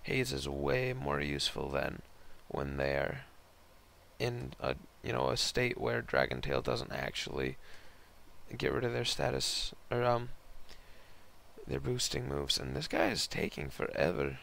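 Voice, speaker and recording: A young man hosts casual game commentary in a hoarse voice with heavy creak and fry at a middling pitch. He rambles in a laid-back, slangy way as he talks through the battle. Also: big pitch swings, measured pace, fairly bright tone. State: hoarse, voice partly lost from concert the night before. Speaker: host narrating online game battles.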